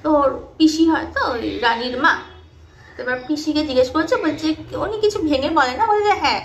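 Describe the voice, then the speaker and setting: A woman's voice: high-pitched, a little fast, crowd noise. A woman casually retelling a ghost story in a chatty, unscripted way, mixing in English words. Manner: chatty, mixes English words into her telling, acts out dialogue. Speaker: storyteller on a horror audio story channel. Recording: roomy echo, faint background noise.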